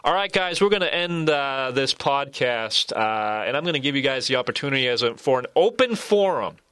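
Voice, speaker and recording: A man's voice: mid-range voice, big pitch swings, brisk, a bit bright, clean tone, no room sound. An American man hosting a casual sports podcast, putting questions and prompts to his guests. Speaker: podcast host. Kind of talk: casual sports interview chat.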